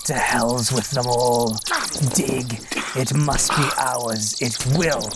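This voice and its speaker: An actor playing an obsessive, pompous grave robber in a horror audio drama, speaking in a lofty, theatrical, formal way. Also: male voice, medium pitch, steady tone, takes it slowly, very noisy. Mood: obsessive, intense.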